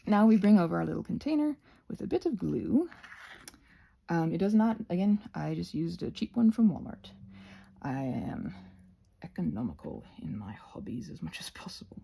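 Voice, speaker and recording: A woman's voice: mid-range voice, creaky, mellow, slightly rough. A woman giving a casual, rambling craft tutorial, often interrupting herself with playful asides.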